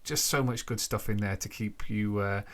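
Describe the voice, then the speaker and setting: A man hosting a podcast, giving an informal introduction in a halting way, thinking aloud between phrases. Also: on the low side, a bit bright. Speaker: podcast host.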